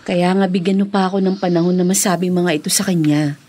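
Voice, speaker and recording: A woman's voice: on the low side, steady tone, quick pace, mellow, a little hiss or hum, lightly acted. An actress playing an evasive, sweet-talking young woman in a Filipino radio drama, with a Filipino accent. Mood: nervous, coaxing.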